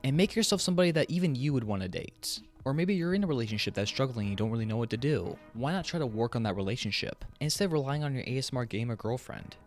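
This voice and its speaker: A man's voice: mid-range voice, expressive, fast, few pauses, faint music behind. A man giving frank, earnest opinion commentary in a conversational, casually profane way, as the host of a video essay.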